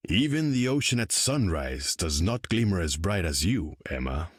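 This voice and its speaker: A regal sea king for a video game. He courts in a low, husky voice with sweeping intonation. His manner is lofty, proud and formal. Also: very animated, moderate pace, no echo, studio quality, exaggerated voice. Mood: courtly, amorous.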